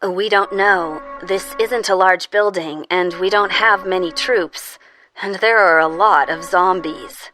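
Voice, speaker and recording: A woman playing a guarded, businesslike facility official in an audio drama, measured and a little hesitant. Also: fairly low pitch, pushed and bright, poor audio. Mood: uneasy. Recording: music behind the voice. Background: music.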